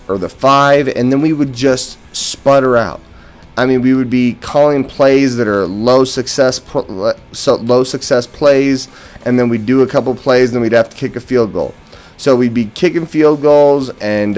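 A man's voice: medium pitch, a little mellow. An American man giving casual post-game football commentary as a fan and host, opinionated and emphatic on key words. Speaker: sports podcast host and fan. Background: background music.

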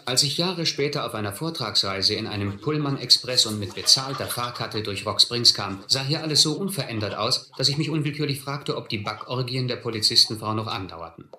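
A man's voice: mid-range voice, expressive, brisk, few pauses, sharp tone, echoey room. An actor playing a reflective narrator who recalls his vagabond youth in a German radio drama. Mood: wry recollection. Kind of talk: scripted first-person narration in a radio drama.

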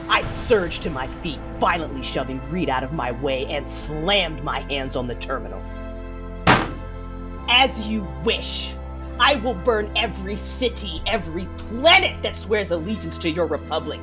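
A woman playing a fierce, grieving warrior mother who narrates her own story in a measured, dramatic audio drama style. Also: low-pitched, lively intonation. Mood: vengeful fury. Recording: voice over a music bed.

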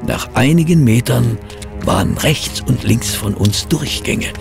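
Amazed detective's companion, expressive radio play delivery, fry in the voice, swooping intonation. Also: male voice, mid-range voice, measured pace, music in the background. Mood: earnest storytelling. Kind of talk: radio play acting with narration.

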